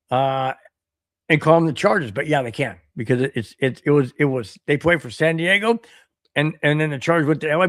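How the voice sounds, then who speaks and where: A man hosting a solo podcast stream, ranting animatedly and repeating himself while his voice wears hoarse. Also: on the high side, no echo, clean studio sound. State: voice getting hoarse. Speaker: podcast host. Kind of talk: solo livestream rant reading chat.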